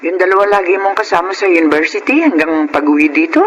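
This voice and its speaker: A woman's voice: on the low side, quick pace, lo-fi sound, close to an everyday voice. An actress playing a worried, protective middle-aged mother in a Filipino radio drama. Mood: scolding, concerned. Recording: noisy radio drama audio.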